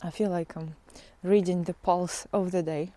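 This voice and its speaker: A woman giving a casual, unscripted spiritual monologue in a reflective, halting way, often trailing into pauses. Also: lowish voice, fairly even intonation, mellow. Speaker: spiritual teacher vlogger.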